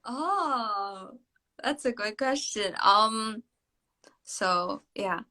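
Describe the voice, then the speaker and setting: Woman, from Indonesia, live-stream guest, bright voice, hesitant, thoughtful, many fillers. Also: medium pitch, lively intonation, very slow. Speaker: interview guest. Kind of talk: casual live-stream chat.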